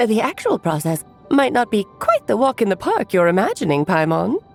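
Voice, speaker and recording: A woman's voice: medium pitch, wildly swooping pitch, moderate pace, darkish tone. A woman playing an elegant, playful adult witch who speaks in an airy, teasing, coy way in a video game cutscene. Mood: teasing, explanatory. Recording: faint music behind the voice.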